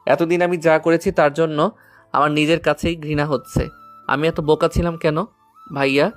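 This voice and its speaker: A woman's voice: deep voice, flat delivery, moderate pace, soft background music, lightly acted. A woman voicing a bitter, heartbroken young man in an audio love story, grave and wounded in manner. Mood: regretful, ashamed.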